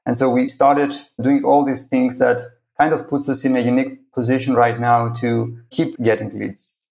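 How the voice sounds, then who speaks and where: A man's voice: medium pitch, little pitch movement, normal speed, creaky, darkish tone, poor audio. A man from Greece with a Greek accent, a podcast guest answering thoughtfully and often checking in with "right?". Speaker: agency founder, podcast guest. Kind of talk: conversational interview.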